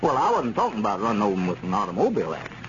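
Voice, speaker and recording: A man's voice: on the low side, huge pitch swings, rapid-fire, a bit bright. A man playing a reasonable, earnest small-town American townsman in a 1930s radio comedy serial. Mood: reproving, patient. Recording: muffled, noisy 1930s radio recording.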